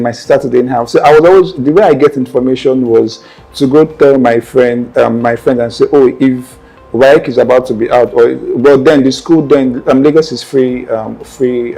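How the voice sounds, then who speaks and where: Earnest young Nigerian man, mellow voice. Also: medium pitch, creaky, husky, rough recording. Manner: earnest, meandering, self-correcting. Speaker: interview guest. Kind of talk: personal storytelling in conversation.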